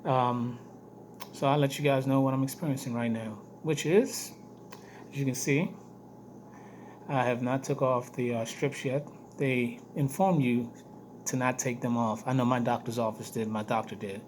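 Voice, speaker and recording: Guy doing a casual vlog update, hoarse weak voice, kinda halting, repeats himself, some noise behind him. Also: medium pitch, fairly even intonation, a little mellow. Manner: halting, repeats phrases for emphasis. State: hoarse, weak voice after throat surgery. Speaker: vlogger giving health updates. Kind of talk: casual video diary update to camera. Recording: noticeable background noise.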